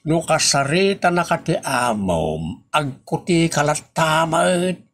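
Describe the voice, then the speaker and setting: A man's voice: a little high, takes it slowly, fairly bright tone. A man with an Ilocano accent playing a bossy boss who scolds workers in a comedy audio drama. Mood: scolding. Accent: Ilocano, northern Philippines. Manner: scolding, emphatic. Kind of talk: comedy radio drama dialogue.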